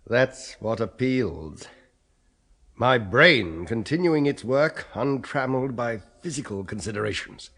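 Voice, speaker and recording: A dying philosopher in a 1970s radio chiller. He speaks very slowly and deliberately, with dry skepticism. A pompous pride in his own intellect colours his delivery. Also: medium pitch, animated, a bit bright, husky, full character voice. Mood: proud, resolved. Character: proud, skeptical, dying male philosophy scholar weighing a grim offer. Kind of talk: 1970s British radio horror play acting.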